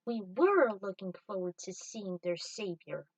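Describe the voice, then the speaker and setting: A woman's voice: mid-range voice, animated, measured pace, no echo, recorded from a distance, rough recording. A woman voicing a smug, sneering female bounty hunter turned traitor in a story, cool and taunting. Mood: sneering, proud.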